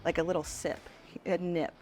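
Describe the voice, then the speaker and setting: A woman's voice: on the low side, flat delivery, moderate pace, darkish tone. A woman, a bar and grill owner answering interview questions playfully and laughing often. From Norwood, Ohio. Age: late 40s. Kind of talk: casual interview.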